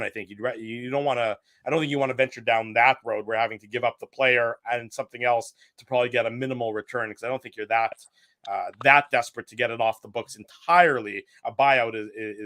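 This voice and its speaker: A man hosting a sports talk show, giving relaxed, conversational analysis and reading station breaks. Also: mid-range voice. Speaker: show host.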